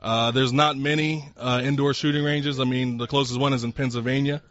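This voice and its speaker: Polite man in his twenties, mid-pitched. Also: steady tone, fairly quick, fairly bright tone, lo-fi sound. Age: 20s. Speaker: interview guest, customer at a shooting range. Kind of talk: casual interview answers. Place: inside a sport shop.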